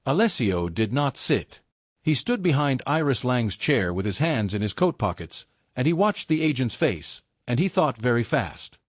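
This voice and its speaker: A male audiobook narrator delivers suspenseful fiction in a dry, close sound. His pitch moves widely as he shapes each sentence of the drama. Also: big pitch swings, moderate pace, no echo. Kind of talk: dramatic scripted narration of a romance thriller.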